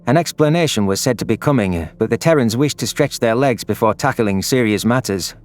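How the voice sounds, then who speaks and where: A man's voice: medium pitch, expressive, fairly quick. A man narrating a scripted first-person science fiction story. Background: background music.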